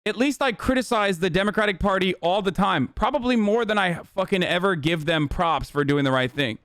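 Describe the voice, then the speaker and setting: A man's voice: high-pitched, expressive, a bit bright, no echo. A man whose first language is Turkish, ranting heatedly and profanely while reacting on a live stream. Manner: profane, heated, rapid rants. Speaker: Twitch streamer.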